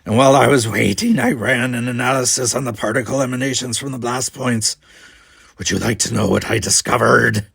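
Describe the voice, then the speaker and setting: A man acts out a hot-tempered Klingon colonist in an audiobook. He sounds gravelly and rough, sneering with open contempt and quick to accuse. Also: medium pitch, steady tone, fairly bright tone, no echo, clean studio sound, full character voice. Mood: angry accusing. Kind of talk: audiobook character voice.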